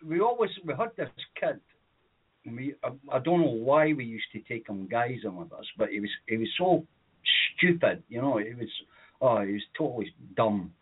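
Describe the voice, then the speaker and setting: A man's voice: mid-range voice, sing-song, normal speed, slightly rough. A Scottish man co-hosting a comedy radio show, telling long rambling childhood stories with lots of fillers and chuckles. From Scotland. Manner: rambling anecdotes with many fillers, laughs at own stories. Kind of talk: comedic storytelling on a podcast. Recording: tinny phone-like audio.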